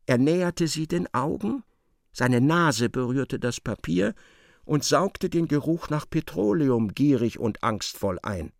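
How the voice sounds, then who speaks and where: Male narrator, literary radio play, lively intonation, medium pitch, dry studio sound. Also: measured pace, vocal fry, no room sound.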